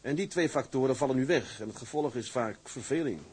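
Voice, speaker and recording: A man's voice: mid-range voice, brisk, darkish tone, a little hiss or hum, natural voice, rough recording. A man playing a stern, lonely, principled tugboat captain in a radio drama, speaking earnestly and tersely. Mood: firm, earnest. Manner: earnest, terse, matter-of-fact. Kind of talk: Dutch radio drama acting.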